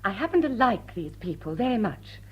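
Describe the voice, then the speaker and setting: Witty society lady, arch n composed, breathy husky voice, lively radio drama delivery. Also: medium pitch, a little fast, dull audio. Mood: firm reluctance. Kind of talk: period radio drama acting.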